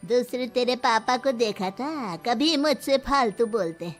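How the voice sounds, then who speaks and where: Woman playing an old village granny, high-pitched, bossy, scolding, domineering mother-in-law, cartoon drama, faint music behind. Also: lively intonation, measured pace. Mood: disapproving, scheming. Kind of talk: cartoon story dialogue.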